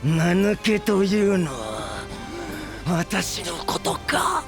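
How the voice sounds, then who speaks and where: A man's voice: sits high, huge pitch swings, extremely slow, over music, full character voice. An actor playing a gloating, megalomaniac scheming villain in an audio drama, theatrical and condescending, drawing out his taunts. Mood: enraged. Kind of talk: Japanese audio drama acting.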